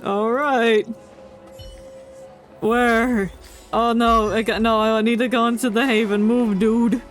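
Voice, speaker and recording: Woman streamer doing jokey sarcastic game commentary, kinda high voice, slow pace, faint game music. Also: steady tone. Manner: joking, sarcastic. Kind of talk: casual live commentary on a video game.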